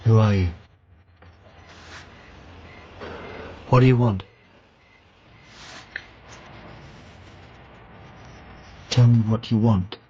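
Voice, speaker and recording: An actor playing a worried, loyal friend and weary traveller in a period radio drama, speaking haltingly and thoughtfully. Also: male voice, lowish voice, steady tone, dark tone, faint music behind, natural voice. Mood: alarmed. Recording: dull lo-fi audio with clear noise.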